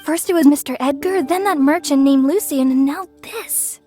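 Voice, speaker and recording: A woman's voice: high voice, normal speed, mellow, faint music behind, natural voice. An actress playing an alarmed, gossipy female townsperson in a video game. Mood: anxious, indignant.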